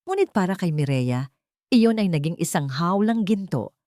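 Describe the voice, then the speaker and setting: A woman's voice: on the low side, lively intonation, moderate pace, warm tone, no room sound, clean studio sound. A woman reading a scripted dramatic audio story as its first-person narrator.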